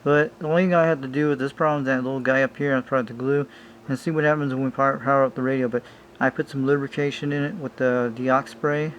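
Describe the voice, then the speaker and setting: A man's voice: medium pitch, steady tone, quick pace, dull audio. Man casually rambling over noisy home video. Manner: informal, rambling, addressing viewers as you guys. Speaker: hobbyist showing a vintage CB radio. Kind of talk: casual hands-on show-and-tell.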